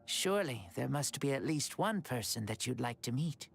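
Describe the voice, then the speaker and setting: A woman voicing an ancient hero in a young boy's body, speaking formally and gently in a video game. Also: a bass voice, lively intonation, moderate pace, vocal fry, exaggerated voice. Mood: solemn, gentle. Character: ancient hero reborn as a serene young boy. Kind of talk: anime video game voice acting. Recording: faint music behind.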